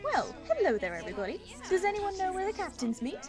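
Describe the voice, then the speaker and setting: Girl doing a bright chatty newcomer in an amateur audio drama, super high voice, music clearly behind. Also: huge pitch swings, fast talker, exaggerated voice. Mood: cheerful, searching. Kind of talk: amateur voice acting.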